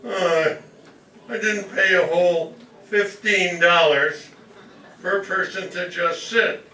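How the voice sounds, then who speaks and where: Eager grown son male actor, explaining and placating, forceful bright tone, comic radio play, distant mic. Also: sits high, steady tone, takes it slowly, heavily acted. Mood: exasperated, coaxing. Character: assimilated, patient, eager grown son of immigrant mother. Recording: distant roomy mic, faint noise.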